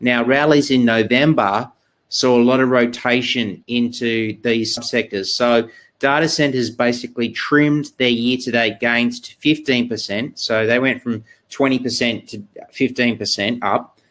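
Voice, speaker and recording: Man, thirties, webinar presenter on a webcam, halting delivery full of ums and restarts. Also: medium pitch, fairly even intonation, a bit bright. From Australia. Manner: halting, many fillers and restarts. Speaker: exchange research presenter. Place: indoor room, video call. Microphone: webcam video call.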